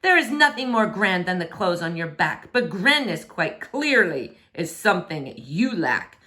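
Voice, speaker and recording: A woman's voice: fairly low pitch, very animated, bright, forceful tone, heavily acted. A woman voicing a vain, pompous prince in a rhyming storybook, haughty, theatrical and easily outraged. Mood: scornful outrage. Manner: haughty, theatrical, exclaiming, drawn-out emphasis. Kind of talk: storybook read-aloud in rhyming verse.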